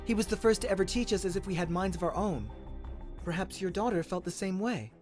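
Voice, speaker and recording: A man voicing a polite, flattering young princess with a steely streak in an audio story. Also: sits high, fairly quick, faint music behind, pitched above the performer's own voice. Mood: defiant.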